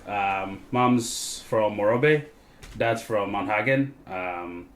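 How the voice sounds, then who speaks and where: A man's voice: fairly low pitch, takes it slowly, echoey room, slight background noise. A man in his late twenties from Papua New Guinea with an Australian accent, telling his story as a podcast guest with frequent ums and restarts. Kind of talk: casual interview chat.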